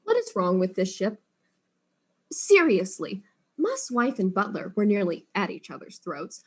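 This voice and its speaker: An actress playing a wry, weary detective in an audio drama, speaking casually. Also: female voice, mid-range voice, huge pitch swings, a little slow, slightly rough, heavily acted. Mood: exasperated.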